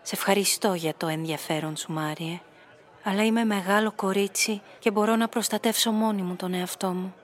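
Actress as a self-possessed young club hostess. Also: female voice, medium pitch, fairly even intonation, natural voice. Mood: cool, dismissive. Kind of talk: Greek radio drama acting.

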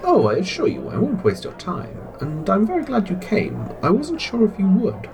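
Suave, wildly expressive recruiter. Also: male voice, high-pitched, normal speed, vocal fry, crowd noise, heavily acted. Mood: polite, amused. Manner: courteous, theatrical, formal phrasing. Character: suave, polite, scheming man recruiting spies. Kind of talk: audio drama acting.

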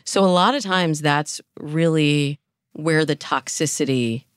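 A woman's voice: low-pitched, measured pace, clear, resonant tone, no room sound, studio quality. A woman speaking as a guest dating coach in a podcast interview, explaining advice and acting out imagined inner thoughts as examples.

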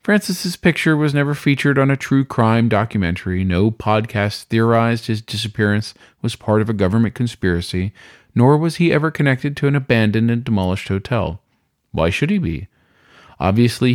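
A man's voice: medium pitch, a little fast, dry recording, studio quality. A man narrating a scripted short story in a dramatic storytelling style.

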